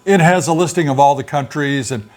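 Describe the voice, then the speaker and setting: An older man in a relaxed, folksy co-host chat, his voice sitting a little high for a man. He rambles and explains at an easy pace, with plenty of "you know" along the way. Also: fairly quick. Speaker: co-host of a retiree channel. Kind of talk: casual chat.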